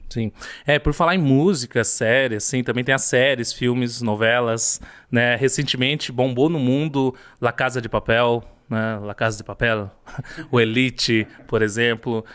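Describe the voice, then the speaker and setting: A man's voice: medium pitch, steady tone, unhurried, fairly bright tone, clean tone. A man hosting a casual interview chat, agreeing often and asking follow-up questions. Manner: conversational, affirming, asks follow-up questions. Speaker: host.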